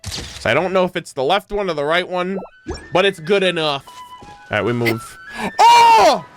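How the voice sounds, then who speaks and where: A man with a high voice reacts to a video game for his stream. He is excitable and casual, peppering his commentary with slang and swearing, over faint music. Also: unhurried. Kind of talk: casual gaming commentary to a chat.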